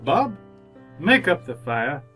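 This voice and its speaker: A man acts out a reformed old miser in a radio play, giddy and gruffly teasing. The voice sits fairly high and swoops widely, theatrical and exclamatory, with some music around it. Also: sing-song, slow pace, heavily acted. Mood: jubilant. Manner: theatrical, exclamatory, swinging from hushed to booming. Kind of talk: old-time radio drama acting.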